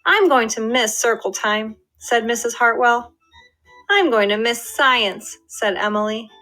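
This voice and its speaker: A woman narrates a children's picture book with lively expression, giving the characters' lines a little color. Her voice is bright and crisp and sits slightly high. Soft music plays quietly underneath. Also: unhurried. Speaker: storybook reader. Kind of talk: children's read-aloud narration.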